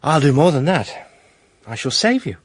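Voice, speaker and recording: An actor playing a confident, gallant defence barrister in a radio drama, polished and assured, with a British accent. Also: male voice, on the high side, sing-song, moderate pace, dry recording. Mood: gallant, reassuring.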